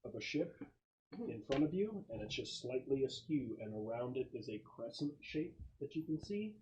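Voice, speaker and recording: A muffled recording with some background noise and clicks carries a man's voice. He narrates a tabletop game as game master, describing scenes in casual, halting phrases at a medium pitch. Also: big pitch swings, mellow.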